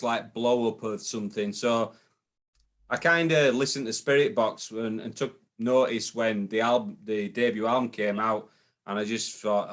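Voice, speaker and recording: A man, a guest in a casual interview chat, hesitant with many ums and repeated starts. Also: fairly low pitch. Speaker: guest, booking agent.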